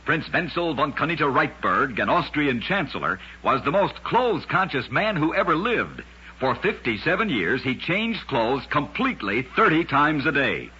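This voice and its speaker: A dull, vintage radio recording of a man narrating strange tales. His voice is markedly rough and forceful. He delivers each scripted line with a dramatic storyteller's emphasis. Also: a little high. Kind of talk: scripted old-time radio oddity narration.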